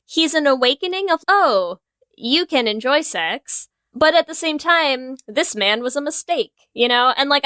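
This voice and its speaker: Bright, crisp, higher-voiced woman podcaster. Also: no room sound, studio quality. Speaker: podcast host. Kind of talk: casual film discussion chat.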